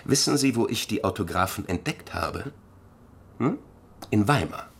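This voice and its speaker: An actor playing a pompous, wordy museum scholar in a German radio drama, speaking in circumlocutions with self-importance. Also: male voice, on the low side, lively intonation, normal speed, gravelly, a little hiss or hum. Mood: self-satisfied.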